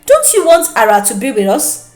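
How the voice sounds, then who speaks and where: A scheming young Nigerian woman, as a female storyteller plays her, nosy and pushy in a folktale. She goads and gossips theatrically, with a highish voice that swoops up and down. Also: wildly swooping pitch, moderate pace, a little mellow, roomy sound, faint music behind. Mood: goading.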